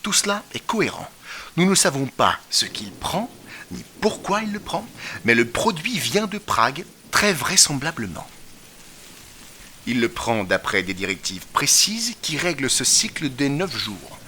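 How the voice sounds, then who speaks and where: A man playing a brilliant, excitable detective in an audio drama, incisive and theatrical as he lectures. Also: medium pitch, a little slow. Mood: analytical, incisive. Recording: noisy ambience behind the voice. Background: faint ambient noise.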